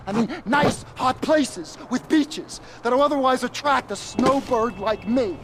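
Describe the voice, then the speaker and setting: A man's voice: very high voice, a little slow, background noise. An actor voicing a jittery, rambling male drug-dealer accomplice who wisecracks nervously in a game cutscene. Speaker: nervous accomplice in a crime crew.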